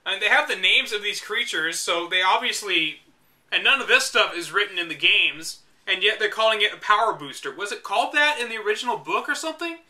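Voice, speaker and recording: A man's voice: high-pitched, expressive, fairly quick, sharp tone. A man reading a game guide aloud and breaking off for wry, exasperated asides. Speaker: reader and commentator. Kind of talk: reading a book aloud with sarcastic commentary.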